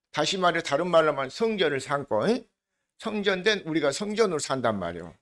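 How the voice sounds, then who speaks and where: A man's voice: high voice, a bit bright. An older man with a regional Korean dialect, preaching a conversational sermon as pastor and often asking the congregation questions. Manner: slows down to lead scripture reading together.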